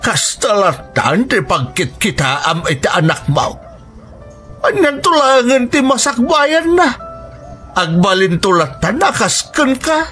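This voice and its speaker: A man's voice: very high voice, sing-song, takes it slowly, pushed and bright, faint music behind, heavily acted. A man with an Ilocano accent playing an indignant, protective, plaintive elderly grandparent in a radio drama.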